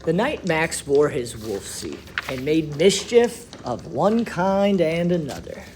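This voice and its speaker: A man reading a children's story aloud expressively, with deliberate, dramatic phrasing that swells and hushes. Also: on the high side, very animated, slight background noise. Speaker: storybook reader for schoolchildren.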